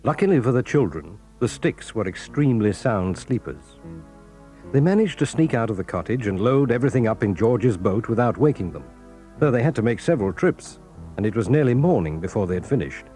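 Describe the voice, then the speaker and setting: Mellow-voiced male storybook narrator. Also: mid-range voice, big pitch swings, faint music behind. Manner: measured, storytelling.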